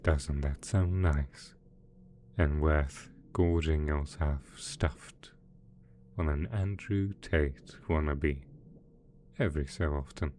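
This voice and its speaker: A man playing a doting, possessive mad scientist boss in an ASMR roleplay, soft-spoken, coaxing and sweetly sinister. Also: low-pitched, lively intonation, a little slow, long pauses, a little hiss or hum. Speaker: voice actor in audio roleplay.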